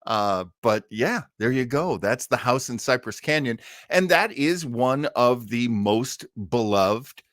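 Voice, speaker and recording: An American man hosting a casual live stream, talking in a rambling, halting way with chuckling asides. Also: medium pitch, lively intonation, fairly bright tone, dry recording, clean studio sound. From Maine, United States. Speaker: host of an online old-time radio listening show.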